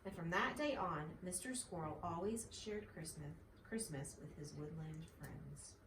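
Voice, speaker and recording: A woman's voice: sits low, unhurried. A woman reading a children's story aloud as a mother would, in a home-style recording. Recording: echoey, slightly distant, faint noise.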